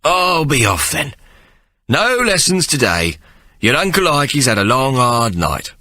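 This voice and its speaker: A man's voice: medium pitch, huge pitch swings, a little slow, pushed and bright, pitched above the performer's own voice, heavily acted. A man voicing a sly old uncle who speaks in a coaxing, drawling way with folksy grammar.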